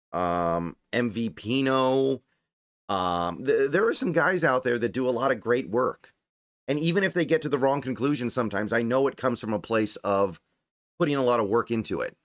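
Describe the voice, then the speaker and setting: A man talks at a medium pitch in a very dry, close recording. His voice is slightly strained, as if starting to wear out. He hosts a casual sports livestream, reasoning aloud in a conversational way and circling back to his points. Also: a bit bright, no echo. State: voice getting strained, starting to go hoarse. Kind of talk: casual fan commentary, reading and answering viewer chat.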